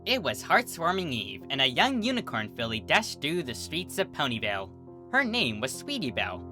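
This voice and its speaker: Female narrator, low-pitched, expressive dramatic storybook read with wide melody. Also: normal speed, music in the background. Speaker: fanfiction reader.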